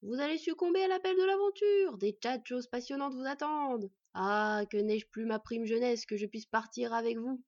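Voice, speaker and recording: A woman's voice: a little high, normal speed, hardly any pauses, darkish tone, close to an everyday voice. A woman voicing an enthusiastic, nostalgic older man, a storybook smith, in an exclamatory manner. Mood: enthusiastic, wistful. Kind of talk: storybook character voice. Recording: dull, slightly distant microphone.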